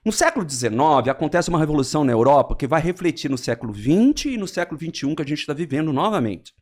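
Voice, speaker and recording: A Brazilian man on a podcast, explaining history in a lively storytelling way and acting out quotes. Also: fast talker. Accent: Brazilian Portuguese. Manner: lively storyteller, animated swings in pitch, acts out quotes.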